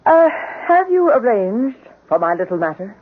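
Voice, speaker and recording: An actress playing a cruel, power-hungry wife in a 1970s radio drama, cool, commanding and taunting. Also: female voice, a little high, big pitch swings, normal speed. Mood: cold, imperious. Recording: muffled, phone-like old radio recording.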